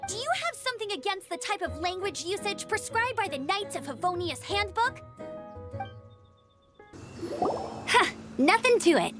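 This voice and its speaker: A woman playing a plucky young female knight scout in a video game, brisk, earnest and a little formal. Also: very high-pitched, animated, over music. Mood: friendly.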